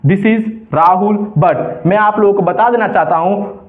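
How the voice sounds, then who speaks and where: A man from India teaching spoken English, explaining step by step and repeating example phrases slowly. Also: high voice. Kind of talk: spoken English lesson in Hindi. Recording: echoey room, tinny phone-like sound.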